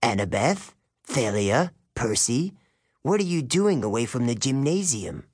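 A man's voice: medium pitch, sing-song, measured pace, darkish tone, dry recording, studio quality. Man playing a stern, stiff older schoolmistress. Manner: demanding, formal. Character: stern, stiff gray-haired female military-school teacher.

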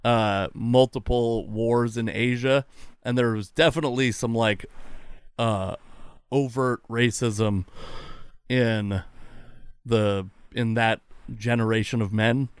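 Male podcast host, thirties, smooth voice, dry recording, casual rambling talk. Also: mid-range voice, steady tone, slow pace, dry recording. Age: 30s. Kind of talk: casual conversational interview.

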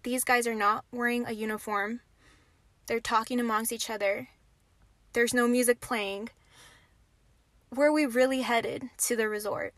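A young woman in a lively storytime, her voice a bit high and her delivery animated, with dramatic pauses and casual fillers. The recording is dry and close, with no room sound. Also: no echo. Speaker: podcast host. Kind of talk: storytime narration.

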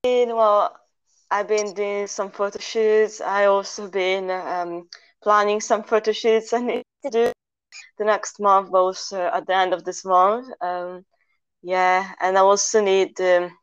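A woman's voice: mid-range voice, little pitch movement, unhurried, clear, resonant tone. Giggly young woman, dull lo-fi sound. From Italy. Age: young adult. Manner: hesitant, many fillers and giggles. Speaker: guest photographer. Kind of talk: casual interview chat.

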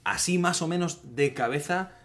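A podcast co-host from Spain who speaks in a relaxed way, with many fillers and drawn-out questions. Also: medium pitch. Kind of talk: casual podcast interview.

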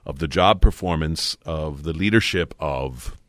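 A man interviewing in a conversational style, phrasing his questions carefully with pauses. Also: very deep voice, dry recording, clean studio sound.

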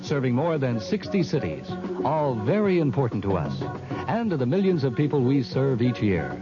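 A man's voice: medium pitch, sing-song, normal speed. A man reading a 1970s radio commercial as its announcer. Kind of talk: 1970s radio jingle-backed ad read. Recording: dull old radio broadcast, music behind.

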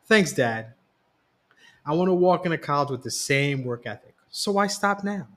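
Guy doing a teen boy, a bit higher voiced, defensive but still respectful to his dad. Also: animated, normal speed, fairly bright tone. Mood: eager. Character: teenage boy, proud, defensive, newly cocky.

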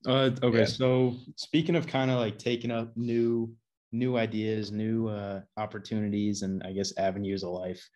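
Lowish male interviewer, meandering questions. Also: level tone, moderate pace, darkish tone. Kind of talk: casual conversation.

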